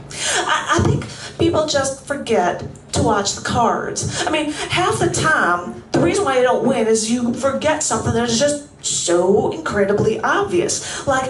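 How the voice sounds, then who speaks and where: A woman's voice: a little high, far from the mic, a little hiss or hum. A woman performing a live stage monolog as a confined, restless woman who talks in a put-on Southern accent, rambling and stammering with nervous laughs. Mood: chatty. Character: confined woman, chatty, restless, feigning craziness. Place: coffeehouse stage before a live audience. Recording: roomy room, mic set back.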